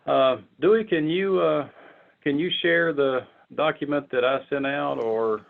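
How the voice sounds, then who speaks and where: A man informally presenting on a conference call, explaining step by step in a halting way and often repeating words. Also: fairly high pitch, creaky. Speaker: committee member presenting proposals. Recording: muffled narrow phone-like conference line.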